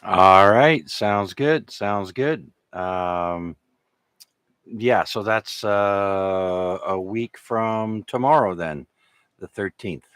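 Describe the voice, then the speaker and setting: A low-pitched man, native in French, hosts a casual amateur radio net. He rambles along with hesitations, and his voice sits dry and close with hardly any room sound. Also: extremely slow, a bit bright, clear, resonant tone, dry recording.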